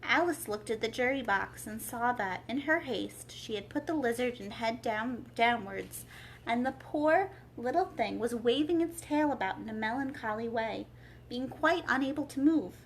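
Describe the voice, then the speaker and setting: A woman narrating a children's storybook aloud as a library storyteller, in an expressive read-aloud style. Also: on the high side, moderate pace, slight background noise, low-quality recording.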